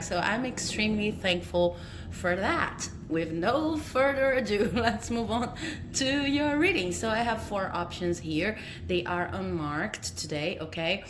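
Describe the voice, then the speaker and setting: A woman's voice: fairly low pitch, a little hiss or hum. Brazilian-accented woman reading tarot on a phone. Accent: Brazilian Portuguese. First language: Portuguese. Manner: friendly, tags sentences with "okay?", laughs between phrases. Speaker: tarot reader. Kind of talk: casual talk to camera.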